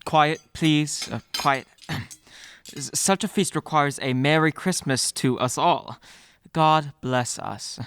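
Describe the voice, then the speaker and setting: A man playing a tender, humble clerk and father in a radio play, gentle and halting. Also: fairly high pitch, clean tone. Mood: festive. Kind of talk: old-time radio play acting. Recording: music behind.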